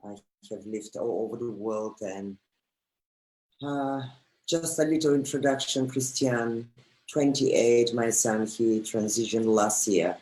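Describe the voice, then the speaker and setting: A woman from Bulgaria giving a slow, heartfelt spiritual talk as a guest. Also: rumbling low, a little mellow, low-quality recording. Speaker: guest speaker.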